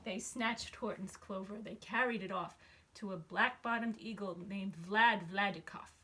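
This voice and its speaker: Bright-voiced woman reading a children's story. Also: mid-range voice, measured pace, husky, low-quality recording. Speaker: storybook reader, school staff member. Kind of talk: expressive children's story narration.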